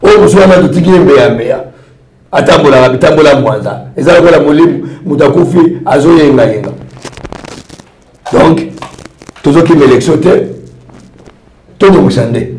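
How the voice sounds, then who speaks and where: A man's voice: medium pitch, a little mellow, echoey room, low-quality recording. A man from Congo, a party leader, answering interview questions in a rambling, repetitive way. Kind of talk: interview answers mixing French and Lingala.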